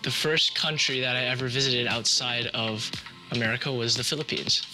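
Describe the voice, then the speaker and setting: A man's voice: medium pitch, moderate pace, music in the background, rough recording. A young man from Hawaii, a student speaking plainly in a filmed interview. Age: young adult. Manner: brief, plain, opens with "uh". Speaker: student scholarship recipient.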